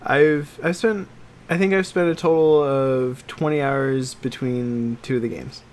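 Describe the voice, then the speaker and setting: A man co-hosting a podcast in casual chat, talking like an excitable fan who swears freely. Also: mid-range voice, measured pace. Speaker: podcast co-host.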